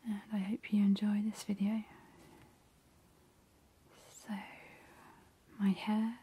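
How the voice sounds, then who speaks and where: Whispering woman, very slow ASMR vampire. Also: medium pitch, mellow, husky. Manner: whispering, slow and soothing, with repeated words. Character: playful, seductive female vampire. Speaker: ASMR host.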